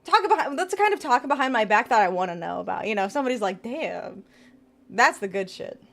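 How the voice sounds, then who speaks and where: A woman's voice: a little high, sing-song, fast talker, vocal fry, a bit bright. A woman VTuber streamer chatting casually with her viewers and giving tarot readings. She laughs often and trails off and restarts as she talks.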